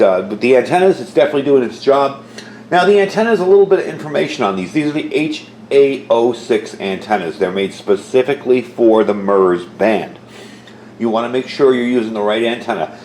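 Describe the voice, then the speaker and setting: A man's voice: medium pitch, a little mellow, slight background noise. A man giving a casual, informal product review, explaining details as he goes, recorded indoors at home. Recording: roomy indoor echo.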